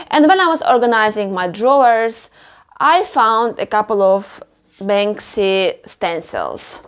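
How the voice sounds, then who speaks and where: A woman from Ukraine, a clothing customization creator, speaking slowly in a halting and emotional way in a personal vlog voice-over. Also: on the high side, clean tone.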